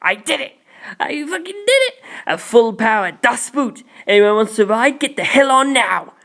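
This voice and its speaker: A brash, excitable male pilot, as an audiobook narrator acts him out. He shouts exuberantly, pitched well above ordinary talk, with a cocky, unrestrained delivery. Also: normal speed. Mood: gleeful shouting.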